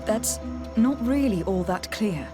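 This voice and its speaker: An actress playing a composed, inquisitive female adventurer in a video game, with a measured, questioning delivery. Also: mid-range voice, lively intonation. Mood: curious. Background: game music under the voice.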